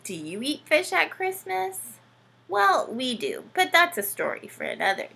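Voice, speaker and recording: A woman's voice: high-pitched, normal speed, creaky. A woman hosting a children's storytime, talking playfully to young listeners and asking them lots of questions. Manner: animated, addresses children with frequent questions. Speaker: children's read-aloud host with a puppet sidekick. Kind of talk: playful children's storytime presenting and read-aloud.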